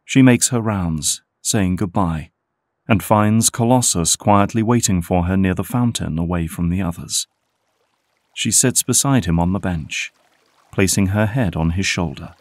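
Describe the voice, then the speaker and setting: A man's voice: sits low, measured pace, no echo, clean studio sound. A man delivering scripted dramatic narration as the narrator of an audio drama.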